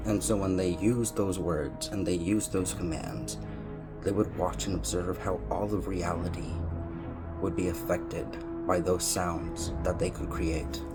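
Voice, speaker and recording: A man delivering an earnest spiritual monologue in a solemn, deliberate manner, leaning on repeated words for emphasis. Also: sits low, steady tone. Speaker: spiritual content creator speaking to followers. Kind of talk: earnest spoken monologue on personal spiritual beliefs. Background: music.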